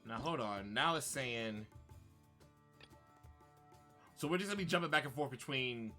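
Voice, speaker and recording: A man's voice: mid-range voice, animated, a little fast, pushed and bright, soft background music. A man giving casual, slangy live commentary with wry reactions while streaming a video game.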